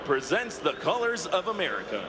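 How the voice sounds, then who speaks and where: A man's voice: a little high, very animated, normal speed. A man making formal, emphatic public-address announcements as the announcer of an event ceremony. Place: large outdoor racetrack over loudspeakers. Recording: echoey stadium PA, loud crowd noise.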